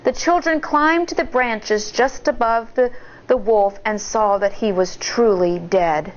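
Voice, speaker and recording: A woman reads a picture book aloud like a schoolteacher. Her voice sits on the high side and moves slowly, with expressive storytelling. The sound is a little dull. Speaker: teacher reading aloud to children. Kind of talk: storybook read-aloud with commentary.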